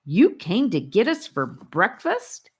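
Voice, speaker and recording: A woman's voice: medium pitch, huge pitch swings, takes it slowly, slightly rough, pitched above the performer's own voice, heavily acted. A woman voicing an eager, impatient young boy in a storybook, speaking urgently and insistently. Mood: indignant.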